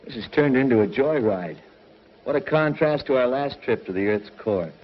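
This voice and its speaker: A man's voice: medium pitch, expressive, moderate pace, lightly acted. A man acts a decisive adventurer from 1950s sci-fi television. His voice is mid-range and composed. The old recording sounds muffled. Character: calm, decisive space adventurer planning a mission. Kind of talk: 1950s television serial acting.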